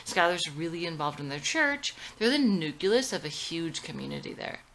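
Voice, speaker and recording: A woman's voice: fairly low pitch, big pitch swings, moderate pace, a bit bright. A woman acting a proud middle-aged churchgoing mother in a drama reading, chatty and boastful.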